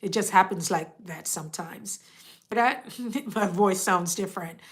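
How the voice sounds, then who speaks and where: A woman's voice: mid-range voice, moderate pace. A woman hosting a casual live stream, talking straight to her viewers in a rambling, motivational way, with a stuffed-up, congested voice. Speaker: host.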